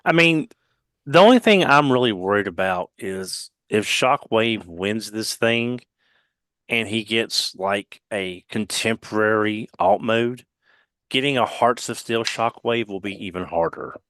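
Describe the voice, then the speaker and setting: A man's voice: on the low side, lively intonation, unhurried, a bit bright, no room sound. A man in a casual podcast chat, talking in a cautious, worried way.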